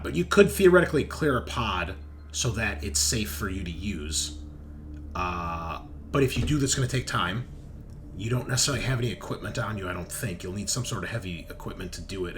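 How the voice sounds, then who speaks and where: A man narrating a sci-fi horror tabletop game as game master, speaking haltingly and thinking aloud with pauses. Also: mid-range voice, slight background noise.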